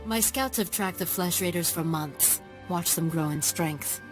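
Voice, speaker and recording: A proud alien matriarch, worn down by illness and bitter toward the Jedi, voiced by a woman. Her tone is cold and sardonic, pitched fairly low. Faint game music plays underneath. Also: measured pace, close to an everyday voice. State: ill.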